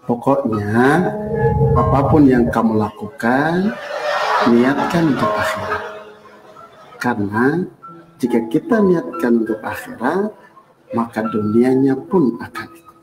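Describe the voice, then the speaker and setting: A devout middle-aged father, played by a man gives measured, solemn advice. His voice is medium in pitch and heavily echoed, with clear music playing behind it. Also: expressive. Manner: measured, earnest. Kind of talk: acted advice in a short film.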